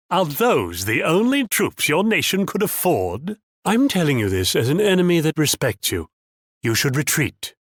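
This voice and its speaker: Snooty rich war leader for a strategy game, super animated, talks down to you, close dry sound. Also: male voice, mid-range voice, no echo. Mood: taunting. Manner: pompous, condescending, theatrical. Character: proud, wealthy, patronizing military ruler and ally. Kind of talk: video game character voice lines.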